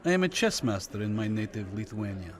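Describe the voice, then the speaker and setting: A courtly, menacing Cold War official. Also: male voice, lowish voice, sing-song. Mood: courtly, sardonic. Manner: formal, measured, condescending. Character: courtly, menacing Lithuanian communist official and chess master. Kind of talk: Cold War radio drama acting.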